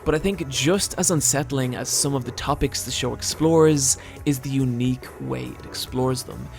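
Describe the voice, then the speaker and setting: A man's voice: medium pitch, normal speed, vocal fry. A man narrating a scripted video essay, with dry, wry asides. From Britain. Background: soft background music.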